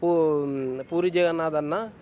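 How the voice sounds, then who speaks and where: A man's voice: on the high side, fairly even intonation, slow pace, a little mellow, slight background noise. A man with a Telangana Telugu accent giving casual, emphatic opinions on the street, often repeating his points. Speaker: member of the public interviewed. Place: outdoors on a city street.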